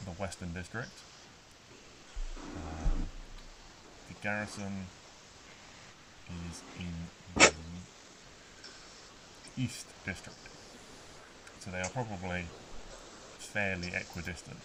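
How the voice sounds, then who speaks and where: Deep-voiced man running a tabletop game. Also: steady tone, slow pace, long pauses, noisy background. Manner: hesitant, many ums and restarts. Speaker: game master.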